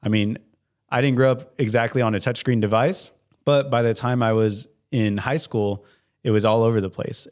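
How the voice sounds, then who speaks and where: A young man in his twenties answers interview questions as an accountant. His voice sits at an ordinary middle pitch, and he explains things in an earnest, measured way. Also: darkish tone. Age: 20s. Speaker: certified public accountant, guest.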